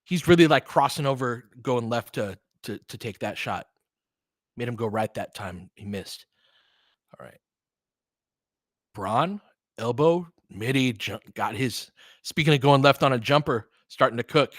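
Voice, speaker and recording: A man's voice: medium pitch, lively intonation, a little slow, long pauses, no room sound, clean studio sound. A middle-aged man doing casual live basketball play-by-play, conversational and opinionated, chatting to listeners and reacting aloud to the action. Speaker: online basketball play-by-play host. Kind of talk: casual live play-by-play commentary mixed with chat.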